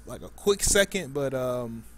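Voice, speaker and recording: Guy hosting a gaming podcast, normal pitch, casual panel moderator talk. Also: animated, a little slow, fairly bright tone. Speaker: podcast host.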